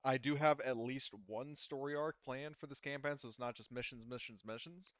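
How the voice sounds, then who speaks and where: A man running a tabletop roleplaying session as game master, explaining things casually and haltingly. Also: mid-range voice, a bit bright, smooth, no echo, muffled recording.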